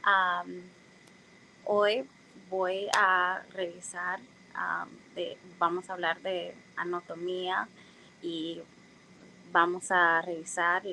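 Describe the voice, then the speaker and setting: Woman, native english speaker, guest lecturer, slow, bright, fillers and self-corrections. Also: fairly low pitch, level tone, creaky, a little hiss or hum, poor audio. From United States. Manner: careful and unhurried, with frequent "am" fillers and self-corrections. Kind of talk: technical presentation.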